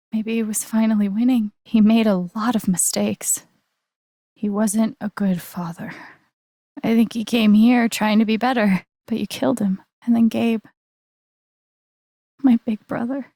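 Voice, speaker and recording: Woman voicing a young game heroine, earnest and hurt but defiant, mid pitch, super dry studio sound. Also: warm tone, dry recording, close to an everyday voice. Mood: grieving, resolute. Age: young adult. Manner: earnest, plain-spoken, emotionally charged. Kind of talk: dramatic video game voice acting.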